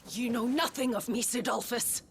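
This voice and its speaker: A woman's voice: on the high side, moderate pace, slight background noise, natural voice. An actress playing a proud, scheming noblewoman in a game cutscene, haughty and mocking. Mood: bitter.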